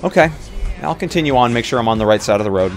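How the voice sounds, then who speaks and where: A man's voice: medium pitch, lively intonation, racing, soft background music. A man taking part in a tabletop roleplay as a player, casually thinking aloud and reacting in short bursts. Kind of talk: casual improvised roleplay chat.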